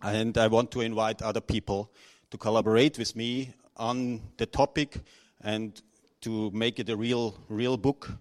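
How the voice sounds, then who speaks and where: A middle-aged man from Austria, speaking unscripted to an audience, halting and nervous, with many restarts and fillers. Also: fairly low pitch, steady tone. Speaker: conference speaker.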